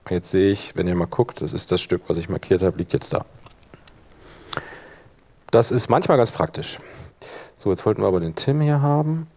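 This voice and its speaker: A man speaking colloquial speech, giving an informal live software demonstration and thinking aloud as he works. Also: deep voice, expressive, normal speed, pauses often. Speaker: workshop presenter.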